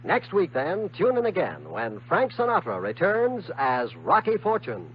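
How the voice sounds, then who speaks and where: An American man announces in scripted 1950s radio style. His voice is fairly high and lively in pitch. The old recording is a bit muffled. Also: measured pace, a little hiss or hum.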